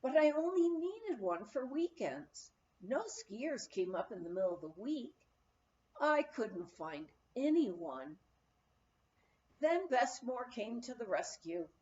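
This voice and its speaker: Female audiobook narrator, higher voice, unhurried storytelling delivery, slightly dull recording. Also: sing-song, dark tone. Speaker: audiobook reader. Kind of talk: scripted memoir narration, read aloud.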